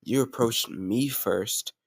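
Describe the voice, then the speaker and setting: A man's voice: mid-range voice, slow pace, slightly rough, no room sound, studio quality. A man playing a confident, doting mafia boss boyfriend in an intimate roleplay audio, speaking affectionately and directly to a lover. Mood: fond, proud. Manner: affectionate, self-assured, addressing a lover directly. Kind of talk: intimate roleplay audio, scripted romantic monologue.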